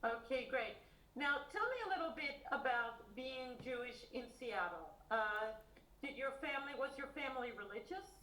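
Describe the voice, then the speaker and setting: A woman's voice: high voice, expressive, normal speed, sharp tone. A woman interviewer asks brief, direct questions. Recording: echoey room with faint background noise.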